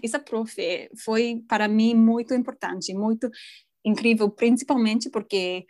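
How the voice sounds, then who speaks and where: Woman from the UK, English native speaker, learner's Portuguese, halting, fillers, dry close sound. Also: a little high, fairly even intonation, darkish tone, no echo. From United Kingdom. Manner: hesitant, searching for words with many fillers. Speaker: guest, language teacher. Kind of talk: interview answers.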